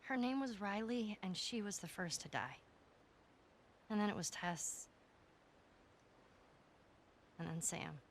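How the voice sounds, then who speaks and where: Haunted teen girl survivor, voiced by a woman, raw and quiet, faint hiss under it. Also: medium pitch, expressive, normal speed, close to an everyday voice. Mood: grieving. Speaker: game character.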